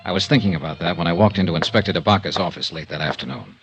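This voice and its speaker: An actor playing a tough, terse, hard-boiled insurance investigator in a vintage radio noir serial. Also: male voice, deep voice, very fast, fairly bright tone, rough recording. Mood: weary, terse. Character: tough, grieving male freelance insurance investigator. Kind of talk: 1950s radio noir acting and narration.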